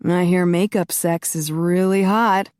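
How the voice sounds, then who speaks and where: A woman narrator voicing a cocky immortal man who speaks calmly and teasingly. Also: lowish voice, measured pace, smooth, no room sound, lightly acted. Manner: calm, dry and teasing.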